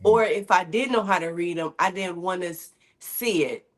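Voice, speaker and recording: Candid low-voiced woman from Michigan. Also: normal speed. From Michigan, USA. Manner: candid, conversational, drops into slang. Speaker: guest, singer-songwriter. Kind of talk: casual interview chat.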